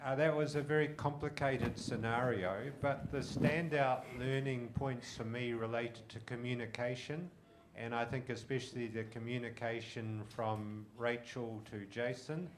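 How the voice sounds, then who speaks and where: A man gives steady, measured feedback to a team after their performance. His pitch sits in the middle range. A faint noise runs behind him. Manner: measured, congratulatory. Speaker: judge giving feedback. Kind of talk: spoken feedback at a competition.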